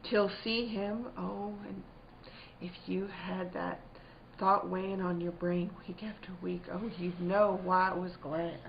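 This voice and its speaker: A woman's voice: on the low side, steady tone, a little slow, vocal fry. Woman playing a careworn, anxious country wife. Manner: plain country dialect, fretful and earnest. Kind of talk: theatrical radio-play acting. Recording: dull, noisy lo-fi recording.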